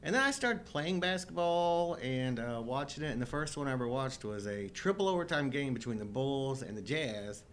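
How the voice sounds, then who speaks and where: A middle-aged man talks casually as a show co-host. His voice sits at an ordinary male pitch but swings up and down expressively. A faint noisy rumble runs behind him. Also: expressive, moderate pace, hardly any pauses, fairly bright tone. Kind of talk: casual chat.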